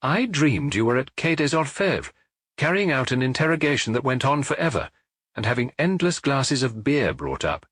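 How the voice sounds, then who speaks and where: Man putting on a soft, sleepy middle-aged wife. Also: mid-range voice, sing-song, normal speed, no room sound, voice pushed up. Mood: sleepy, relieved. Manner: soft murmuring.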